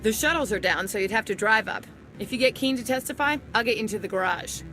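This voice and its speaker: A woman playing an undercover internal affairs agent in a video game, brisk and matter-of-fact with a dryly sardonic edge. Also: fairly high pitch, lively intonation, pushed and bright, soft background music. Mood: businesslike. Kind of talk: video game dialogue acting.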